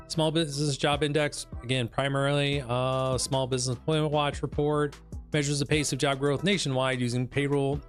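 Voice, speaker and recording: A hoarse man whose voice is giving out talks through a business report on a live stream. He rambles with fillers and restarts, a business coach in casual mode. Faint music plays underneath. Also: mid-range voice. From West Michigan, United States. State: hoarse, losing his voice.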